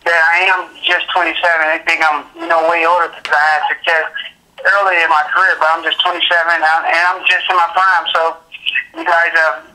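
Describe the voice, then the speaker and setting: Young boxer talking blunt, bright voice. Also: male voice, fairly high pitch, little pitch movement, a little fast, rough recording. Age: 20s. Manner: blunt, repetitive, many fillers. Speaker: boxer guest. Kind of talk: phone interview.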